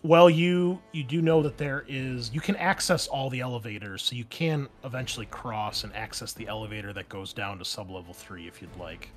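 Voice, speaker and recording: A man narrating a tabletop roleplaying game as game master, improvising in a halting, conversational way. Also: mid-range voice. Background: background music.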